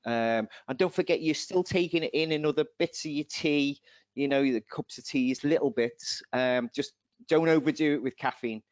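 A man's voice: a little high, moderate pace, dry recording. A man giving rambling, chatty answers on a webinar, his voice slightly going. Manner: chatty, rambling, laughs at own jokes. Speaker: nutrition presenter. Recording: dull dry video call audio.

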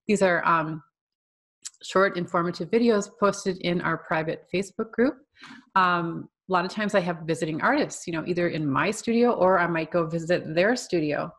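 A woman's voice: fairly low pitch, moderate pace, fairly bright tone. A woman giving a casual, chatty slide presentation to an online art class as the artist who teaches it. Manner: informal, chatty, conversational, with frequent asides.

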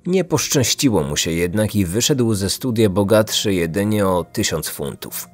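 A man narrating a scripted true-crime podcast as its host, measured and serious. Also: fairly low pitch, lively intonation, soft background music.

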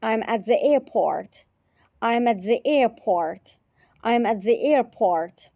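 A woman teacher repeating short phrases slowly and carefully in a repetition drill. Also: a little high, animated, vocal fry, dark tone, slight background noise. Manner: repeats each phrase three times, carefully.

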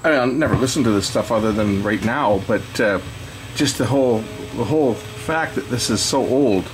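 A man's voice: mid-range voice, normal speed, background noise. A man giving casual hands-on commentary as a host, rambling and thinking aloud as he goes. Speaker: host showing old records. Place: indoors at a cluttered electronics workbench.

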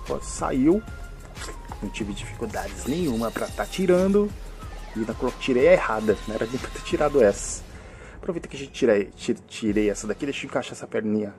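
A man's voice: medium pitch, lively intonation, fast, gravelly, darkish tone. Man with a mixed São Paulo and Rio accent, casual enthusiastic reviewer, off-screen camera mic, light music bed. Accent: mixed São Paulo and Rio de Janeiro Brazilian Portuguese. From Brazil. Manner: chatty, fills with "né?" and "hein?", enthusiastic. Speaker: toy reviewer.

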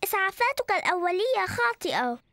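Bossy cartoon girl: very high, fast, lecturing. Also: big pitch swings, fairly bright tone, slight background noise, exaggerated voice. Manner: know-it-all, lecturing.

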